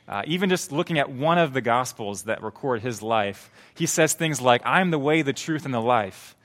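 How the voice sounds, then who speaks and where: A man's voice: mid-range voice, lively intonation, moderate pace, fairly bright tone. A young man giving a sermon as a pastor, earnest and conversational, with frequent fillers and restarts.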